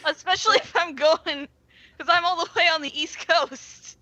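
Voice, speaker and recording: A woman's voice: very high-pitched, normal speed, sharp tone, dull audio. A woman guest on a casual podcast, explaining things in an informal, light-hearted way.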